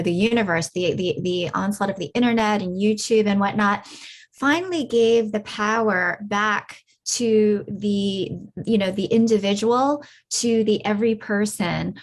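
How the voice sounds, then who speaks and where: A woman in her forties talking as a podcast guest, reflective and loose, with many fillers and restarts. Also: mid-range voice. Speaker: podcast guest, beauty industry veteran. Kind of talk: casual interview conversation.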